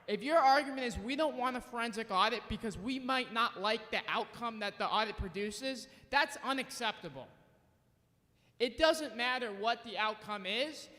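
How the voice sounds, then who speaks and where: A man's voice: very high voice, sharp tone. A man, a state representative, giving insistent formal testimony for his bill at a committee hearing. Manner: insistent, emphatic, persuasive. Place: legislative committee hearing room. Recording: long hall reverb.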